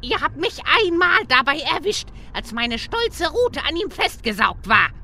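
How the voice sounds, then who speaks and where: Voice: high voice, very animated, a little slow, sharp tone, slight background noise, full character voice. A performer playing a pompous, easily offended little male villain, theatrical and indignant, in a comedy audio drama.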